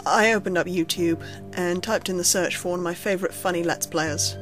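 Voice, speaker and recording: A woman reading a scripted first-person horror story in a measured, suspenseful storytelling manner. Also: on the low side, fairly even intonation, a bit bright. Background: background music under the narration.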